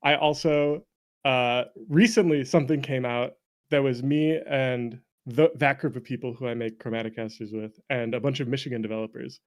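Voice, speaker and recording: A man's voice: medium pitch, steady tone, moderate pace. A man with a mid-range voice talks casually as an interview guest. He is jokey and self-deprecating, often breaking into laughter, and sounds like a game developer chatting with a friend. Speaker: indie game developer and streamer. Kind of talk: casual chat.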